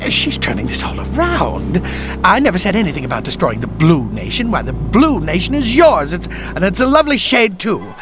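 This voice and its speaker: Crazy cartoon toy general bad guy, super high and bombastic, loud music under it. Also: wildly swooping pitch, moderate pace. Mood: wheedling. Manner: bombastic, theatrical, childishly demanding. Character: megalomaniac male toy general, petulant, ranting villain. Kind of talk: exaggerated cartoon villain acting.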